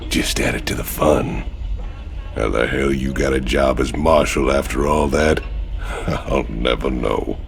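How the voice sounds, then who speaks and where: An actor playing a rowdy, hulking outlaw in a video game, with a gravelly voice and a Southern American drawl. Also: male voice, steady tone, slow, soft background music, full character voice. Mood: amused, nostalgic. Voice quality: gravelly, very deep. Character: rowdy, hulking older male outlaw, nostalgic. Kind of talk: cinematic game voice acting.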